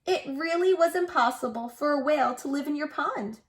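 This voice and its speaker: A woman's voice: high voice, moderate pace, close to an everyday voice. A woman voicing a polite, firm male teacher who instructs a child in a picture-book read-aloud. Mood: consoling.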